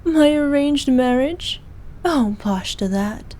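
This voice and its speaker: A woman playing a regal, possessive evil queen who dotes on her knight in an intimate ASMR roleplay, speaking formally and softly, at times in breathy whispers. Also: high voice, animated. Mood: scornful, scheming. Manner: regal, slow, archaic formal speech.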